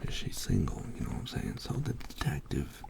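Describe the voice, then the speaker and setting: A man softly telling a rambling ASMR bedtime story in soothing, halting phrases, whispering close to sensitive microphones. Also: huge pitch swings, very noisy. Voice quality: breathy whisper mixed with low creaky voice. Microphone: close high-sensitivity microphones. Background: soft writing and tapping trigger sounds.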